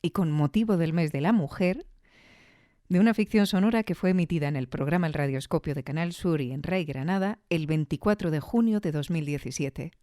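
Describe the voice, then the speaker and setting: Mellow low-voiced woman from Spain. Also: brisk, no room sound, studio quality. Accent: Spain Spanish. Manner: reflective, explanatory, with rhetorical questions. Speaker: audio fiction review show host. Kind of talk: conversational commentary.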